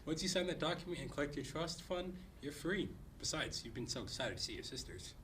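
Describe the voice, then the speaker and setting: A man's voice: a little high, very animated, a little fast, a bit bright, far from the mic, a little hiss or hum. A man playing a supportive, easygoing young boyfriend in a comedy table read, talking reassuringly in an everyday voice.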